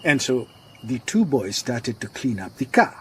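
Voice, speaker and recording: An actor playing a worried, earnest garage owner in a radio drama, with a Southern African accent, speaking gravely and deliberately. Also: male voice, mid-range voice, very animated, a bit bright, slight background noise. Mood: grave, shaken. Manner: grave, deliberate, repeats phrases for emphasis.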